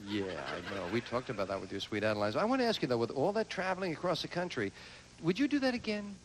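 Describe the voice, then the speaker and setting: A man's voice: medium pitch, sing-song, quick pace, a little hiss or hum, low-quality recording. A man hosting a television game show, bantering and joking with the contestants.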